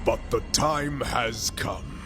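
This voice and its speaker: A man's voice: medium pitch, slow pace, lightly acted. A man voicing a grandiose, stern alien warlord with pompous, solemn delivery in a video game. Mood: pompous, triumphant. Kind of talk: video game cutscene acting. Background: loud game music.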